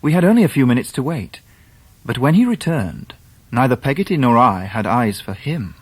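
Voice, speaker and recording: Dry, close English gentleman narrator. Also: medium pitch, big pitch swings, slightly rough, no room sound. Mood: measured. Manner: measured, literary, wry. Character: genteel, wry Victorian gentleman recalling his youth. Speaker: narrator in character. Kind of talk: first-person radio drama narration.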